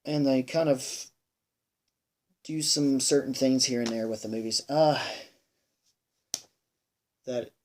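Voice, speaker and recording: A man giving a casual, unscripted movie review, rambling and halting, repeating himself emphatically and sounding a bit tired. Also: medium pitch. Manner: sweary, emphatic. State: tired, sighing.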